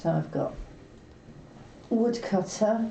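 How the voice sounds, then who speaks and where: A woman's voice: mid-range voice, takes it slowly. A woman playing a board game, chatting casually with the others at the table. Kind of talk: casual chat. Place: indoor room around a game table. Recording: roomy, muffled, clearly noisy room recording.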